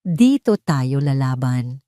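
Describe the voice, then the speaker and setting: A calm male ally from an audio love story, as a woman storyteller puts him on. He speaks with quiet weight and determination. The tone is dark and soft. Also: a bass voice, slow pace, no room sound, studio quality, pitched below the performer's own voice, exaggerated voice. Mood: resolute.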